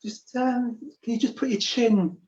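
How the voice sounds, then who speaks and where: Slow, calm man on a lo-fi call. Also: very high-pitched. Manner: calm, hesitant, filler-heavy delivery. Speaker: improv performer. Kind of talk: improvised comedy scene. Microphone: video call. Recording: dull lo-fi video call audio.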